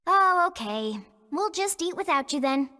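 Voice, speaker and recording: A perky, teasing young sidekick in a video game. Her voice is very high, bright and lively, with a casual playful bounce and a long reverb around it. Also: a little slow, full character voice. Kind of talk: animated video game acting. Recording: reverberant hall sound.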